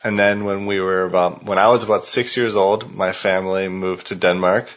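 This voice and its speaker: A man with an American accent and a fairly low voice, chatting loosely as a podcast host. He hesitates often, filling gaps with "uh" and restarting his sentences. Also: steady tone, normal speed. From California, United States. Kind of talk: casual conversation.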